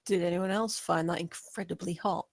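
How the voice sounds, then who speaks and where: A woman's voice: on the low side, fairly even intonation, moderate pace, gravelly, husky, no room sound. A woman playing a casual, wry spaceship crew member in a sci-fi comedy table read.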